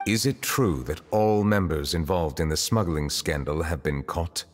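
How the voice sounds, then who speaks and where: Deep-voiced, composed man acting as chief justice. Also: close to an everyday voice. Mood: stern, calm. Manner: formal, measured, stern questioning. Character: composed, grave male chief justice, formal and calm. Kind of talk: scripted video game dialogue. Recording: long hall reverb, music behind.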